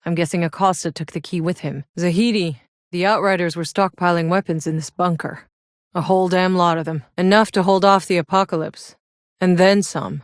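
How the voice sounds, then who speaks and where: Woman playing a tough, low-voiced female soldier. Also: no room sound, lightly acted. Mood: businesslike. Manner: terse, dry, hard-edged. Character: tough, sardonic, foul-mouthed female soldier, weary but determined. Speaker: video game voice actor. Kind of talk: acted video game voice lines.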